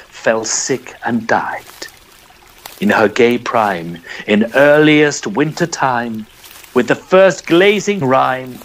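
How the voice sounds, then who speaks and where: A man narrating a poem in a theatrical, measured storytelling style. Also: medium pitch, a little hiss or hum, poor audio. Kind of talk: dramatic poetry reading, storytelling.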